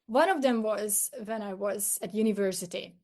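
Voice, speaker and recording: A woman's voice: medium pitch, moderate pace, a little mellow. A middle-aged woman with a Hungarian accent, a native Hungarian speaker, telling personal stories conversationally as an interview guest. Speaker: podcast guest, coach.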